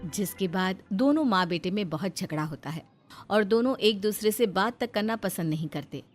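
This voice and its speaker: A woman's voice: mid-range voice, expressive, normal speed, soft background music. A woman narrator reading a scripted family moral story in storybook style.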